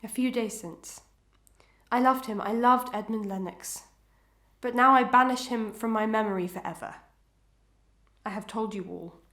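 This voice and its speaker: A woman playing an anguished, proud and dutiful wife in a Victorian melodrama, with formal archaic diction and fervent, deliberate delivery. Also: fairly high pitch, sharp tone, reverberant. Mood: wistful, resolute. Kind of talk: old-fashioned melodramatic stage acting.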